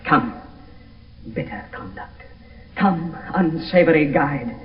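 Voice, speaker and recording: A grief-stricken, desperate young lover in a classical radio play. His fairly high voice declaims verse with passion. The old broadcast is muffled and echoey. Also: expressive, takes it slowly, recorded from a distance. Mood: tender despair. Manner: theatrical, impassioned, declamatory. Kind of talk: 1970s radio Shakespeare acting, verse.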